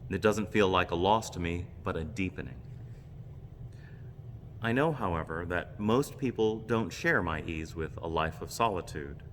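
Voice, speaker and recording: A man giving a reflective homily with personal stories, speaking in measured, deliberate phrases. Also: deep voice, a bit bright. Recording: long reverb, background noise.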